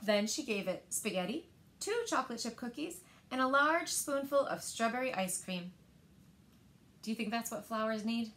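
A woman in her thirties with a slightly high voice reads a picture book as a story-time storyteller. She shapes each phrase deliberately and expressively for young listeners. The home recording has noticeable background noise. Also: expressive, a bit bright. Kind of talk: reading picture books aloud to young children. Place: small living room by windows, indoors.

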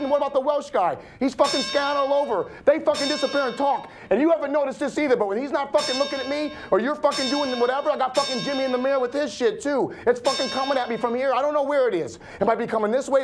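An actor playing a paranoid, frazzled criminal who swears constantly. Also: male voice, medium pitch, lightly acted. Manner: profane, pleading then ranting.

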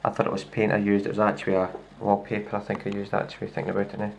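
A Scottish man talks through a laptop demo in a low voice. His speech is flat and gravelly, and he stops often for fillers and restarts. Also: racing, a little hiss or hum, low-quality recording. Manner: relaxed, with many fillers and restarts. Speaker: tech reviewer. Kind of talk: casual hands-on demo. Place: indoors at a wooden table. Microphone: handheld camera filming a laptop screen.

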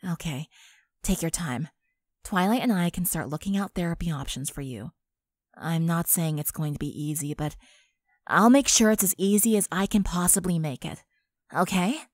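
A woman voicing a supportive, earnest young female friend in a fan audio drama, speaking gently and reassuringly. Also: on the low side, normal speed, no echo, studio quality, lightly acted.

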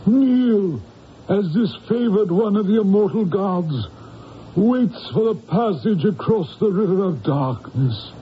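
Imperious high priest, solemn, high-pitched, very measured, declamatory, dull old radio drama recording. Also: male voice, animated. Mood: solemn, commanding. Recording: dull vintage radio recording, faint noise.

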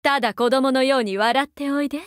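Woman acting high-voiced, doting, seductive mother figure. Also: fairly quick, dry recording, clean studio sound, lightly acted. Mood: playful, commanding. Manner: coaxing, breathy-whispering at times, archaic feminine phrasing. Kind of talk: Japanese mobile game character voice lines.

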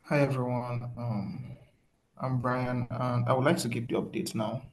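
A man's voice: medium pitch, little pitch movement, a little slow. A man speaking politely and informally as a mentee in an online meeting. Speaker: mentee giving updates. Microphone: video call.

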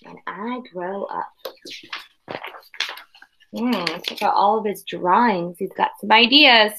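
A woman in her thirties reading a picture book aloud to young children with expressive emphasis and casual asides, in a room at home. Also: mid-range voice, slow pace, gravelly, noisy background. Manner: expressive, exaggerated storytelling emphasis. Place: small room at home, indoors.

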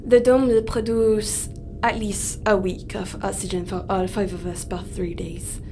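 A reserved female astronaut far from home , played by an actress. She speaks in short, subdued phrases at a medium pitch. There is a faint rumble behind the sci-fi audio drama scene. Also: fairly even intonation, a little slow, close to an everyday voice. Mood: factual. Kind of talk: naturalistic audio drama acting. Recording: faint rumbling background noise.

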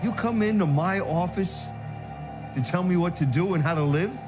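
A man's voice: sits high, normal speed, natural voice. An actor playing a combative, scornful male company boss in dramatic film dialogue. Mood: angry. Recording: film soundtrack under very loud music.

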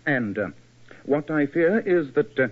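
An actor playing a shrewd, courteous English gentleman detective with a British accent in old-time radio style. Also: fairly high pitch, expressive, unhurried. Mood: brisk, persuasive. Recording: tinny old radio recording.

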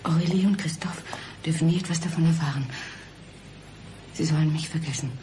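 An actress playing a frightened, despairing condemned mother in a radio play, halting and breathy, often near a whisper. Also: deep voice, fairly even intonation, dark tone, slight background noise, lightly acted. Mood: fearful, anguished. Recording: music under the voice.

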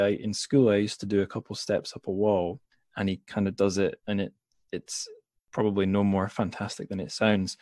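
Lowish-voiced man thinking aloud. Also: mellow, no room sound, clean studio sound. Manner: rambling, tentative, thinking aloud. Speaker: podcast host. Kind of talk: casual literary discussion.

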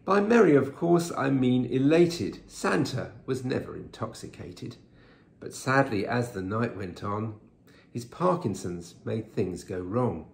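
A man's voice: mid-range voice, sing-song, measured pace, gravelly. A man in his sixties reading a rhyming story aloud, recorded at home. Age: 60s. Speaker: poem reader. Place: living room at home. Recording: roomy echo.

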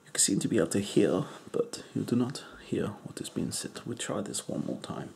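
A man voicing an eccentric, crude foreign male doctor in a deadpan whisper. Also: lowish voice, gravelly, a little hiss or hum. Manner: whispery, slow, deadpan joking. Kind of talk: comedic ASMR roleplay.

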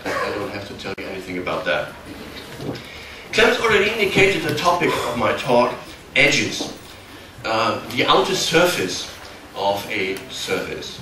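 A middle-aged man with a German accent giving a technical workshop talk in a large hall, explaining in a halting, self-correcting way. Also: fairly low pitch, very animated. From Germany, near the Dutch border. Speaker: conference speaker. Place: large darkened conference hall. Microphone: far camera. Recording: echoey hall, distant mic, background noise.

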